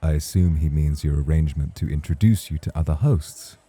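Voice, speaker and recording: Guy acting as smooth talking flirty male host, husky low voice, confident and formal, asmr roleplay. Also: a little fast, warm tone. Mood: smug, persuasive. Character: suave, teasing male club host, confident charmer.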